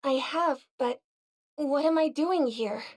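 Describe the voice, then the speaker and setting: A woman's voice: sits high, takes it slowly. A woman voicing a headstrong, fiercely loyal young female soldier in dramatic game dialogue, blunt and emotional. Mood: dazed, confused.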